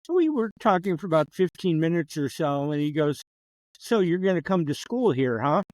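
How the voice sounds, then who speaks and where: Higher-voiced older man telling stories. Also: very animated, moderate pace, darkish tone, no room sound. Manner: reminiscing storyteller, chatty and wry. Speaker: professor and podcast guest. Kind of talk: casual conversational interview.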